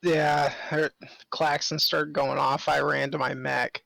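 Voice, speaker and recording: Bright, gravelly man chatting casually. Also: fairly high pitch, little pitch movement, unhurried, no room sound. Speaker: tabletop game player. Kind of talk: casual chat.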